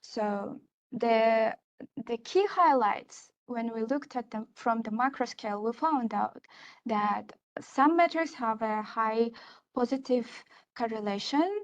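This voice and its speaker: A woman's voice: a little high, slow, dull audio. A young woman gives an academic conference talk on her research, speaking hesitantly with many fillers and restarts. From Kazakhstan. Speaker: research assistant presenting her research.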